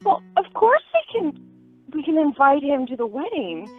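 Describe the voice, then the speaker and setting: A woman plays a young fiancée in a radio drama, earnest and plaintive. Her voice is high and moves in big swoops. The recording is lo-fi, with music behind it. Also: sing-song, normal speed. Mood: coaxing. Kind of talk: radio play acting. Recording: muffled lo-fi audio with music behind.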